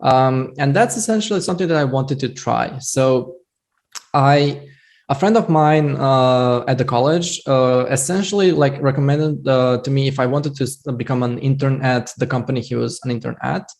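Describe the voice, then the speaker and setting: A man's voice: medium pitch, moderate pace, gravelly, a little mellow. Young man, rambling. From Croatia. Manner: rambling with many fillers and restarts. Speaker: software developer guest. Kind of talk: casual storytelling interview. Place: small home room. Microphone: headset.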